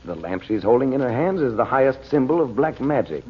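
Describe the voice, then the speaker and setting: An actor playing a calm, authoritative magician hero, speaking firmly and reassuringly in an old radio drama. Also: male voice, medium pitch, brisk, slightly rough. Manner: firm, reassuring. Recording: muffled old radio transcription.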